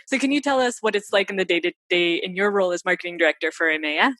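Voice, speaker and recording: Quick, upbeat woman hosting an interview. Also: on the low side, fairly bright tone. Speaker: interview host. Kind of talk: hosted interview.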